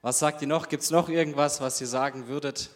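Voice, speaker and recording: A man's voice: a little high, steady tone, fairly quick. A young man from Germany leading a youth group, talking casually with lots of fillers and questions to the group. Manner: casual, chatty, many fillers and rhetorical questions. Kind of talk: interactive talk to a youth group. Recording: reverberant hall sound.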